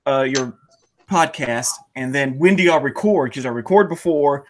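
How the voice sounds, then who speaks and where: A man's voice: mid-range voice, moderate pace, a little hiss or hum. A man with a Southern US accent hosting an interview on a video call, folksy and jokey. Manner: folksy, jokey, laughs a lot. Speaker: interview host, video maker. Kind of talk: casual interview chat.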